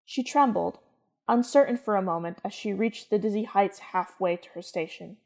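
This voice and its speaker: A woman's voice: medium pitch, normal speed, vocal fry, a little mellow. A woman reading scripted story narration in the manner of a radio play.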